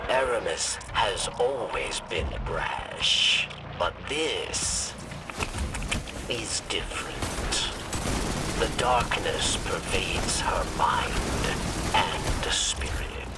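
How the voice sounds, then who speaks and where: A man acting an anxious, earnest alien scribe in a video game, pleading and halting in his speech. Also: low-pitched, animated, heavily acted. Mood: troubled. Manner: halting, urgent, speaks of himself in third person. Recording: distant voice in loud noisy ambience.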